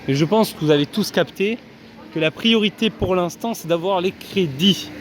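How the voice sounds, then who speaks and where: A man's voice: high-pitched, moderate pace, noisy background. A man casually vlogging from a busy theme park, chatting to his viewers with lots of fillers. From France. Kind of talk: casual vlog commentary.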